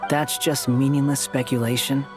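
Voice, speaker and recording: Sardonic young male wanderer for a game, played by an actor: curt, matter-of-fact, medium pitch, faint music. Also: level tone, normal speed, lightly acted. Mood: challenging. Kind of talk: video game voice acting.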